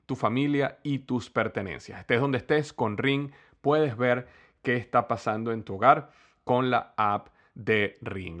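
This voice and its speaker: Guy hosting a podcast, eager and chatty, lots of "eh" fillers, mid pitch. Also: a bit bright. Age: young adult to middle-aged, has a son. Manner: eager, friendly, with many "eh" fillers. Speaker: podcast host. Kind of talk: podcast intro and interview.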